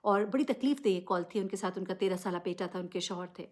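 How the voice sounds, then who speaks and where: A woman's voice: medium pitch, quick pace, a little mellow. A woman giving unscripted news commentary, addressing listeners directly and emphatically with rhetorical questions. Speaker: vlogger presenting news commentary.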